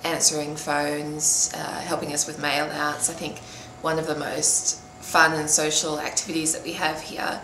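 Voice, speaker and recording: A low-voiced Australian woman answers interview questions informally, her pitch staying fairly flat. She is recorded in a roomy space with clear background noise. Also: normal speed, hardly any pauses.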